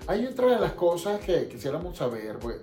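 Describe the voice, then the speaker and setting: A woman with a low, soft-edged mellow voice hosts a conversational interview. The room is very echoey, and faint music plays behind her. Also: very animated, normal speed. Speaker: podcast host, interviewer.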